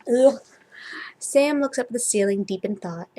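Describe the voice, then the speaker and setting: A woman's voice: medium pitch, lively intonation, moderate pace, dark tone. A woman reading fan fiction aloud in an informal, amateur way, sometimes stumbling over the words. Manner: informal, stumbles and comments on own slips. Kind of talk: story reading with character dialogue.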